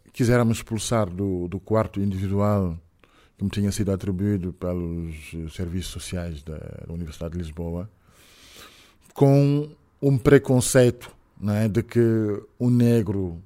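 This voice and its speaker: A middle-aged man from Senegal speaks with a French-tinged Senegalese accent. His voice sits fairly low. He is being interviewed and talks in a measured, reflective way, pausing and hesitating often. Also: dark tone. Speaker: guest. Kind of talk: interview conversation.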